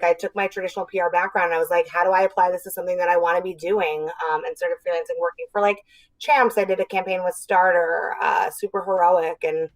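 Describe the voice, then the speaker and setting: A young woman from New Jersey chats as a podcast guest, upbeat and talkative, slipping into little acted-out quotes. Her voice sits a bit low for a woman. The sound is dry and close, with no room around it. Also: dry recording, low-quality recording. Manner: chatty and upbeat, acts out quotes. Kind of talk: casual chat.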